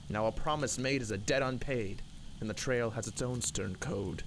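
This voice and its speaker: Male poetry reader, mid-pitched, theatrical and emphatic storytelling in rhythm, with clear background noise. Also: normal speed. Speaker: poem reader. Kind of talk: dramatic narrative poetry recitation. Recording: loud background noise and ambience.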